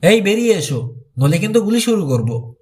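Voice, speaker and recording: A menacing male rancher villain acted out by a man reading an audiobook. He is bossy and sneering, barking threats in a highish voice with very animated intonation. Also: moderate pace. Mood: commanding. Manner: hissing, barking threats and orders. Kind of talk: audiobook character voice.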